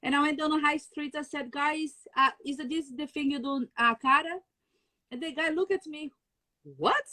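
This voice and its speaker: A high-voiced woman with a Brazilian Portuguese accent, talking over a video call in a dry, close sound. She chats freely, laughs a lot and sometimes hunts for the right word in English, her second language. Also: moderate pace, bright, forceful tone, no echo. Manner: chatty, laughs often, searching for words. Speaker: guest chef. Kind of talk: casual video-call chat.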